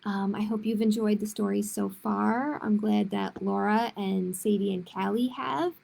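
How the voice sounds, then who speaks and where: A woman's voice: mid-range voice, steady tone, measured pace, vocal fry, mellow, breathy edge. A woman hosting a children's storytime, reading picture books aloud in simple phrases with casual asides to her listeners. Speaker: children's librarian, storytime host.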